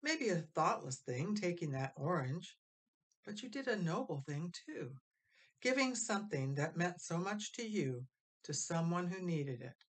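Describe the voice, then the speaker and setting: A woman voicing a gentle, forgiving mother in a storybook, tender and soothing, at times nearly whispering. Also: on the low side, animated, measured pace.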